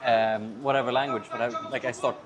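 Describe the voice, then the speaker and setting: A man's voice: medium pitch, fairly even intonation, fairly quick, crowd noise, low-quality recording. A man with a Swedish accent hosting a casual conversation, rambling with restarts and laughing often. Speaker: podcast host.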